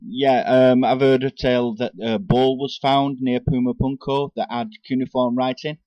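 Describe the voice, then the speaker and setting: A man from England calling in to a radio show, hesitant and halting, with many fillers. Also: mid-range voice, flat delivery, no room sound, lo-fi sound. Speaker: caller.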